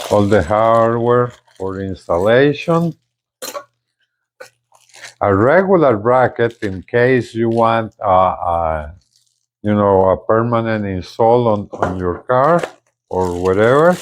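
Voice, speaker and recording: A man giving casual unboxing and product commentary in an informal, halting way, often repeating words. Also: fairly low pitch. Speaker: product reviewer.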